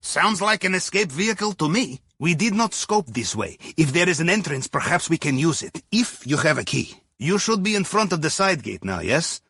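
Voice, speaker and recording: A shrewd, jovial Russian-accented sub captain from a video game. His voice is fairly high and bright, with a husky rasp. He coaches a heist crew in droll, swooping phrases. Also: very animated, normal speed, hardly any pauses, dry recording, studio quality, exaggerated voice. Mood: urgent, encouraging. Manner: droll, conversational, dropping articles. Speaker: heist mission handler. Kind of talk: scripted video game dialogue.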